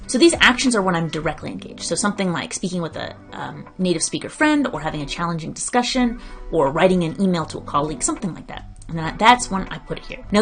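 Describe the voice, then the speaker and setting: A woman in her thirties with a fairly low voice, walking through a study-tracking method step by step. She explains in a clear, steady tutorial manner and sometimes restarts a phrase. Faint music plays underneath. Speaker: language learning educator. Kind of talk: instructional screen-share tutorial.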